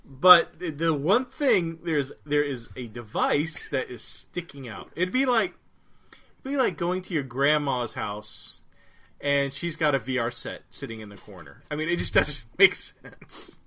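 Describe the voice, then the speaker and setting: A man's voice: a little high, big pitch swings, a little slow, pushed and bright. A man co-hosting a podcast who chats casually, teasing his partner and laughing often. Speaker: podcast co-host. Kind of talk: casual chat about a TV episode.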